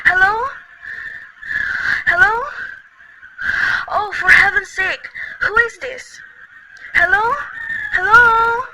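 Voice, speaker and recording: A woman's voice: extremely high pitch, takes it slowly, pauses often. A woman voicing a nervous bedridden invalid, frantic and complaining, near tears. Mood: anxious. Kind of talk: student radio play reading. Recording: loud background noise.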